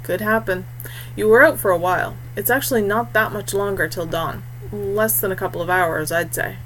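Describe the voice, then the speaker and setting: A woman voicing a calm, practical young male warrior with a wry streak, a loyal brother in a fantasy story. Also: medium pitch, normal speed, a little hiss or hum, natural voice, low-quality recording.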